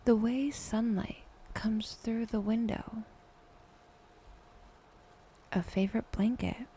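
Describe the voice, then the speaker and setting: A woman guiding a meditation in a soft, breathy, often near-whispered voice, speaking in short phrases with long pauses. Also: a little high, steady tone, a little hiss or hum. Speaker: meditation guide, podcast host. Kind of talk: guided meditation, soft slow scripted narration.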